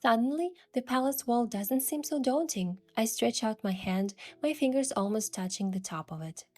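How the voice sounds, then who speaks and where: Woman as mellow, soft-spoken young princess narrator. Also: on the high side, animated, normal speed, faint music behind. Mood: soft, descriptive. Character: curious young woman posing as an indulgent princess. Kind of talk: scripted first-person story narration with acted dialogue.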